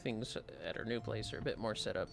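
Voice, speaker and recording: Casting: man, casual game stream host, hushed and rambling, fry in the voice, clear music underneath. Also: lowish voice, a little fast. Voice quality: soft, hushed. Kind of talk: casual gameplay commentary.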